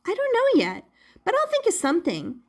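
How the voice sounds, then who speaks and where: Small wooden lad for a storybook, female reader acting: high, swooping, excitable, loving. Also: moderate pace, exaggerated voice. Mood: eager, adoring. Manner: excitable, earnest. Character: eager, affectionate little wooden boy puppet.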